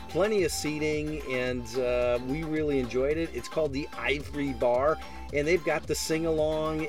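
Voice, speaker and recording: A man hosting a travel vlog, narrating a cruise ship tour in an upbeat, salesy way with many filler words. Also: mid-range voice.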